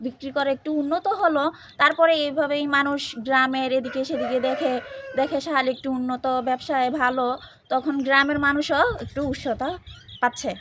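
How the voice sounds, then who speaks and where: A woman's voice: high-pitched, fairly bright tone. A woman giving an informal interview account. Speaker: farmer's elder sister being interviewed. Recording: faint ambient location noise.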